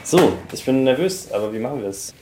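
Male podcast guest, thirties, mid-range voice, casual and halting storyteller. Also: medium pitch, lively intonation, a crowd in the background. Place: his own apartment.

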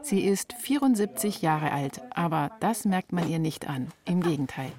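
A woman's voice: on the low side, expressive, moderate pace, dark tone. A woman reporter reading scripted narration for a radio feature.

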